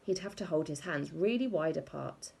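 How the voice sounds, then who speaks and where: A woman narrating a children's book aloud in an expressive scripted storytelling style. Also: low voice, moderate pace, gravelly, husky. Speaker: audiobook reader.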